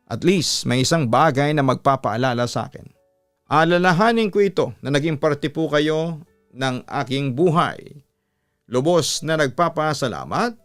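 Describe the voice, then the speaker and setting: A Filipino man working as a radio host, reading listener letters and giving advice in a measured, earnest delivery. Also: medium pitch, clean tone, no room sound. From Philippines. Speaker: radio announcer and story channel host. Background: background music.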